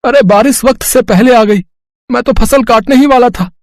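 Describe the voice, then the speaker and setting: A humble poor farmer , as a man voices him in a cartoon story, speaking in a high, pleading voice. The recording is dry and close. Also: moderate pace, no room sound. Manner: pleading, respectful.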